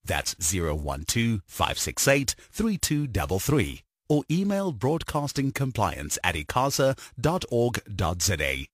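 A man's voice: mid-range voice, no echo, clean studio sound. A male announcer reading a regulatory disclaimer at speed, with phone numbers and email addresses. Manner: brisk, flat, reading out numbers. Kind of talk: rapid legal disclaimer read.

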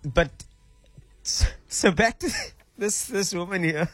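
A man's voice: high-pitched, takes it slowly, fairly bright tone, no echo, faint music behind. South African male radio host, chatty storyteller, close dry studio mic. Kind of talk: casual radio chat. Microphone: studio microphone.

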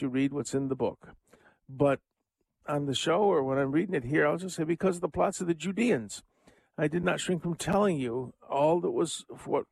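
A man's voice: on the high side, gravelly, darkish tone, breathy edge, no echo. An older man hosting a radio show, giving a casual, rambling commentary on scripture with chuckling asides and many fillers. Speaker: radio host.

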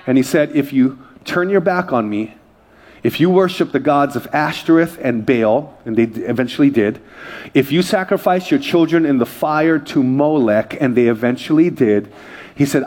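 A male pastor preaching a sermon with energy, reading scripture aloud and calling out to the congregation. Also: fairly high pitch. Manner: energetic preaching with crowd call-outs.

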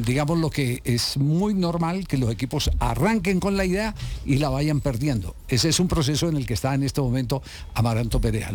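Chatty sports radio host. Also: male voice, on the high side, darkish tone. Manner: rambling, many fillers. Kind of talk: casual sports talk.

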